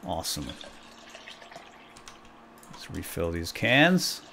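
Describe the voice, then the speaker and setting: A man's voice: low-pitched, sing-song, slow pace, vocal fry, noisy background. A man giving casual let's-play commentary, playfully talking to himself with mild folksy exclamations. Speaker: gaming YouTuber, let's-play host.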